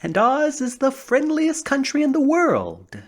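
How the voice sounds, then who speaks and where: Storybook scarecrow, a kindly straw man, low and unhurried, read by a woman. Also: wildly swooping pitch, exaggerated voice. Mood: cheerful. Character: gentle, cheerful male straw scarecrow.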